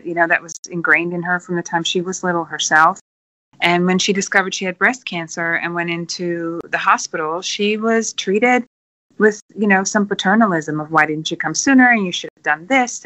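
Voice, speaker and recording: A woman in her forties from the Netherlands, a podcast guest speaking earnestly and filling pauses with many ums. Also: fairly low pitch, sharp tone, no room sound, poor audio. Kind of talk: podcast interview.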